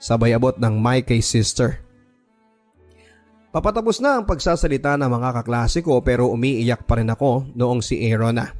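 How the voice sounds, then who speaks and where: A man's voice: medium pitch, moderate pace, a little mellow. A man narrating a scripted first-person story in a dramatic radio style, with background music.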